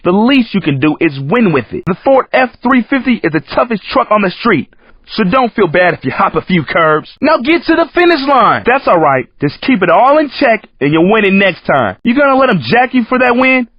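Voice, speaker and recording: A man's voice: high voice, sing-song, moderate pace, no room sound. A man voicing a cocky, hip, streetwise American racing coach in a video game, slangy and brash. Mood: upbeat hype. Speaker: race announcer in a driving game. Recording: muffled lo-fi game audio.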